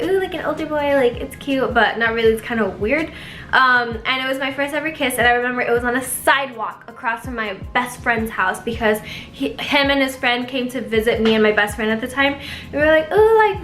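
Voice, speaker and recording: Young female YouTuber, bright tone, casual filler-heavy chat, echoey room with faint music. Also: on the high side. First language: Spanish. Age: young adult. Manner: chatty, filler-heavy, giggly asides.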